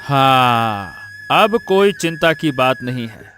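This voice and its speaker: A man's voice: medium pitch, big pitch swings, slow pace, sharp tone, faint music behind, full character voice. A man voicing a poor, protective village father in a Hindi cartoon story, earnest and often repeating himself. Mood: relieved.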